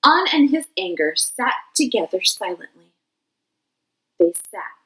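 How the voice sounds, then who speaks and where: A woman teacher reading a children's picture book aloud in an expressive way. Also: medium pitch, rough recording. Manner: slow, expressive. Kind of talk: children's storybook read-aloud.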